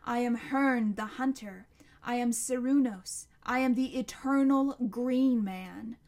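A woman's voice: medium pitch, darkish tone. A woman voicing an ancient, towering tree monster in a storybook, proud and menacing, speaking in a grand, declamatory way. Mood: roaring, proud. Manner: grand, slow, declamatory, building to a roar.

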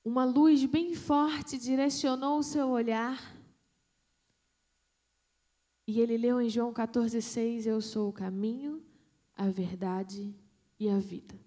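A woman missionary, telling a testimony in a sermon, with dramatic pauses and hushed emphasis. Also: on the high side, steady tone. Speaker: missionary preacher.

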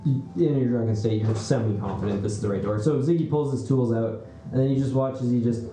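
A man acting as dungeon master, narrating a tabletop game casually and haltingly, in an amused, conversational way. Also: medium pitch, dark tone. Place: garage. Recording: roomy garage echo, faint background noise.

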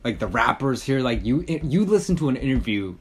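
An American man from Georgia hosts a podcast in casual chat. He explains things in a chatty, animated way and leans on fillers as he goes. His voice sits at an ordinary middle pitch. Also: fairly quick, slightly rough. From Georgia, USA. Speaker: podcast host.

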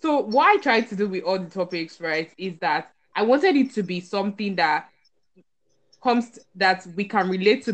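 A woman's voice: medium pitch, fairly bright tone. Chatty woman hosting a podcast. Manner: chatty, playful, laughs often. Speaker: podcast host. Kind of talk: casual chat.

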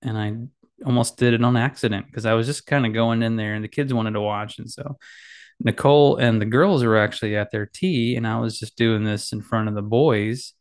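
Dad podcaster, gravelly mid-pitched voice with a nasal edge, casual and self-deprecating, solo from his home office. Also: steady tone, moderate pace. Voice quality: slightly nasal. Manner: rambling, self-deprecating, many fillers and restarts. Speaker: podcast host. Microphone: boom mic with headphones.

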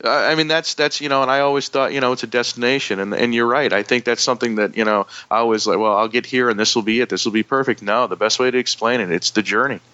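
A man's voice: medium pitch, fast talker, hardly any pauses, pushed and bright, clean tone, dry recording, highs cut off. A man from Michigan with a northern US accent, a guest speaking candidly about his recovery. He talks reflectively and uses a lot of "you know" fillers.

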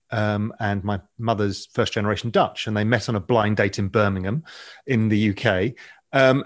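A man in his fifties with an English accent, a podcast guest telling his career story in a relaxed, rambling way with frequent ums. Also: mid-range voice. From England. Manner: relaxed, anecdotal, many ums. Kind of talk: interview storytelling.

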